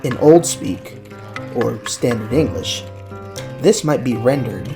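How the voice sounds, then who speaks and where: A man's voice: mid-range voice, expressive, takes it slowly. A man reading scripted novel narration for a dramatized audiobook, with music playing behind him. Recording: clear music under the narration.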